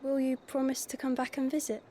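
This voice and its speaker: An actress playing a kindly, humble young village healer in a video game, speaking gently and earnestly. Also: female voice, sits high, completely flat delivery, a little fast, slight background noise, close to an everyday voice. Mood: gentle, hopeful. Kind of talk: dramatic video game acting.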